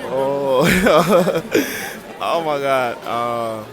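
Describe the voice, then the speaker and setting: A man's voice: medium pitch, lively intonation, extremely slow. A teenage boy giving casual, hesitant answers in an interview. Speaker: student interviewee. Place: busy high school grounds. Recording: loud crowd chatter, off the mic.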